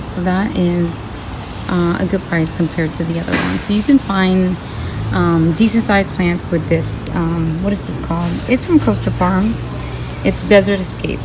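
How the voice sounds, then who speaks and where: A woman with a slightly raspy voice, chatting casually to her viewers as she browses plants in a garden center. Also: medium pitch, rough recording. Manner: chatty, thinking aloud while browsing. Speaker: vlogger. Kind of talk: casual shopping vlog talking to viewers. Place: garden center of a large store.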